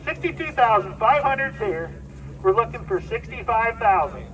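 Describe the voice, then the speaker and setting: High-pitched Midwestern auctioneer, heard from afar. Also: male voice, animated, moderate pace, highs cut off. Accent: American Midwestern. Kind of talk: live farm auction calling and announcing. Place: outdoors at a farm auction. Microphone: distant handheld camera picking up PA. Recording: distant outdoor loudspeaker sound.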